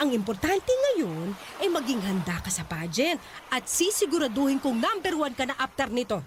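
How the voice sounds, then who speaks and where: A woman's voice: high voice, very animated, moderate pace. A woman acting a pushy, pageant-obsessed mother with a Filipino accent, scolding and commanding in a radio drama. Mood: firm, pressuring. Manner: scolding, commanding, sharp-tongued. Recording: faint background noise.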